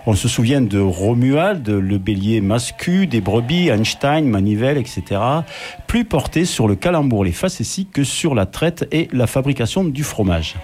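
A man, telling a personal story as a read-out radio column, measured and wry. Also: mid-range voice, big pitch swings, darkish tone.

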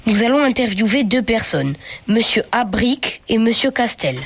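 A woman's voice: a little high, animated, moderate pace, noisy background. A female pupil reading a scripted introduction to the interview. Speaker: pupil presenter. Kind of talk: read-aloud introduction.

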